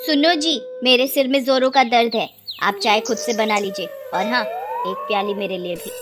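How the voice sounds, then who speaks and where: A lazy young village wife, played by a woman, with a high voice and a sarcastic, scolding tongue. She is defiant in storybook drama style, over clear background music. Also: moderate pace, natural voice. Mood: dismissive. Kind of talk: dramatised Hindi moral story.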